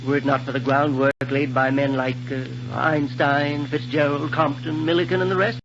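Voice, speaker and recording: An actor playing a kindly, eccentric scientist in a 1930s radio serial, musing and professorial, with small hesitations. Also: male voice, on the high side, level tone, moderate pace, music in the background, natural voice. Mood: proud, explaining. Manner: hesitant, musing, professorial delivery with technical jargon. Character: kindly, eccentric scientist doctor proud of his invention. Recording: noisy muffled vintage radio recording.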